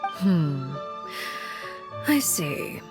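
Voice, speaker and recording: A woman playing a cold, composed, commanding matriarch in an anime game, formal, measured and dryly ironic. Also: deep voice, very animated, full character voice. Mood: cool, dry. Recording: music playing behind the voice.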